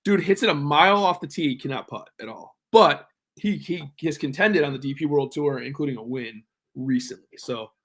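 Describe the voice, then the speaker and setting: A man's voice: a little high, normal speed, few pauses, fairly bright tone. A man giving his picks in casual chat as a panelist on a sports show.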